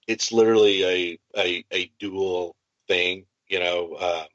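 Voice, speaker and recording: A man's voice: sits low, fairly even intonation, a little slow, fairly bright tone, no echo, lo-fi sound. A man co-hosting a podcast, explaining tabletop gaming options enthusiastically in casual chat. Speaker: podcast co-host.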